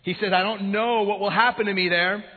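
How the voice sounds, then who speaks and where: Male pastor from Iran, Farsi first language, high voice, passionate preaching, tinny sound in a reverberant hall. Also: fairly even intonation, a little fast. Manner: impassioned preaching, swinging from loud to hushed. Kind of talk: sermon.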